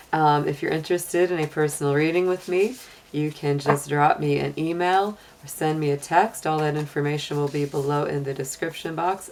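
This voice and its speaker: Deep-voiced, slightly hoarse woman. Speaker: tarot reader and channel host. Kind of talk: casual conversational tarot and astrology reading.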